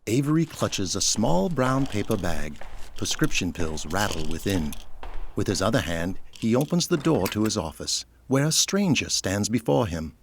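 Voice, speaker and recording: Low-pitched male narrator. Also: very animated, moderate pace, creaky, slight background noise. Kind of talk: scripted audio drama narration.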